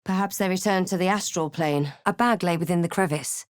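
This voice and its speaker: A woman voicing a wry, resolute adventurer and spellcaster in a fantasy game, with measured, dramatic delivery. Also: lowish voice, no echo, clean studio sound, natural voice. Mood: wry, guarded. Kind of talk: fantasy game voice lines: narration, remarks, incantations.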